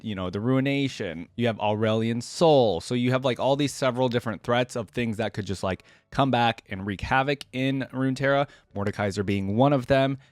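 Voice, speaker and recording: A man's voice: medium pitch, no room sound. A man hosting a reaction video, talking casually and off the cuff in a conversational, rambling way that often checks for agreement.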